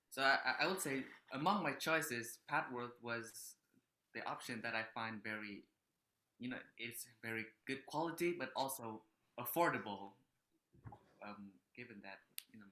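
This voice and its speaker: A man's voice: medium pitch, sharp tone. A teenage male student from Vietnam, answering hesitantly with restarts and you-knows. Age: late teens. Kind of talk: casual answers on a video call. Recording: roomy, echoey laptop audio.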